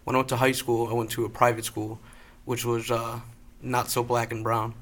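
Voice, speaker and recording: A man's voice: mid-range voice, monotone, normal speed, fairly bright tone, slight background noise. A man speaking in a tentative, hedging way as a panelist in a casual discussion.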